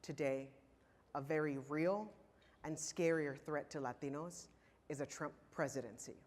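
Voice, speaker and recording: A man in his thirties from Los Angeles, giving an impassioned prepared keynote speech to a conference audience with emphatic, pointed pauses. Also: on the high side. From Los Angeles, California. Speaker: keynote speaker. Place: large conference hall. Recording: reverberant hall.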